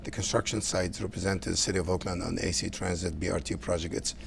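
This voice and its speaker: Gravelly deep-voiced man. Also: little pitch movement, noisy background. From Lebanon. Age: middle-aged. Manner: earnest, halting with many fillers. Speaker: guest, council candidate. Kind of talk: interview answers.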